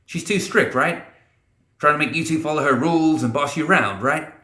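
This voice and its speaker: A man's voice: a little high, moderate pace, pushed and bright, reverberant, pitched above the performer's own voice. A man voicing a bratty, swaggering teenage boy with brash, slangy talk in a fantasy audiobook. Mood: breezy, cocky. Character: cocky, bratty teenage boy with a cricket bat.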